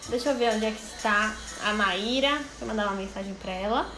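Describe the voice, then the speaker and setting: A woman's voice: mid-range voice, fast, roomy sound, over music, poor audio. A woman with a Brazilian accent hosting a casual live chat, chatty and welcoming to those joining. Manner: chatty, welcoming viewers by name. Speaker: live stream host, clinical psychologist.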